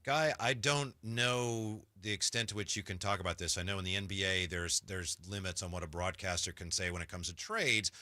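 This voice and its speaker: A man's voice: deep voice, brisk, few pauses, bright, forceful tone, no echo. A man hosting a casual sports radio interview, chatting conversationally.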